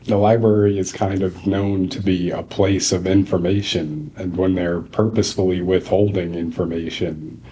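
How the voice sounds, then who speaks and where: A student in his twenties giving measured, reasoned answers in an interview. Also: sits low, steady tone, mellow, poor audio. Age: 20s. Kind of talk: casual opinion interview.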